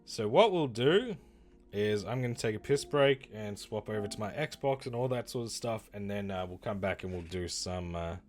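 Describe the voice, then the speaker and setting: A man streaming and chatting casually about games, rambling and correcting himself, with his voice going hoarse. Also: on the low side, normal speed, faint music behind. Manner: rambling, self-correcting, lively. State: losing his voice. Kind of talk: casual livestream chat reviewing games.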